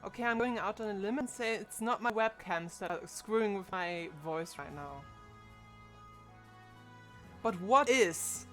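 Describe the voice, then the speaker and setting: A young woman in her twenties talks casually on a live stream at a mid-range pitch. She thinks aloud in a chatty, puzzled way, with faint music playing behind her. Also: a little slow, pauses often. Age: 20s. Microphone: desk microphone.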